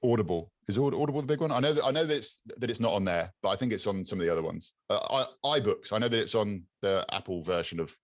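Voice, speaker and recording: A British man with a low, raspy but clear voice, chatting as a podcast guest over a video call and often repeating words as he searches for them. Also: lively intonation, quick pace, hardly any pauses, dry recording, studio quality. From England. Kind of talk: casual interview chat.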